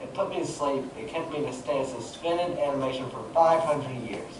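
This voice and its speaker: A man's voice: mid-range voice, fairly quick, natural voice. A man playing an earnest, boyish, brave young space adventurer in an old-time radio serial reading. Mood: earnest recounting. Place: community playhouse stage, live reading. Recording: roomy, distant mic, noisy stage recording.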